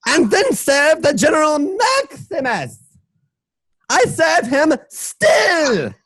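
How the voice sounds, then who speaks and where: Man putting on a high, strained loyal soldier. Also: wildly swooping pitch, takes it slowly, a bit bright, no room sound, exaggerated voice. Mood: urgent, pleading. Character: eager, loyal old soldier servant of a general. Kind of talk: online table-read radio drama acting.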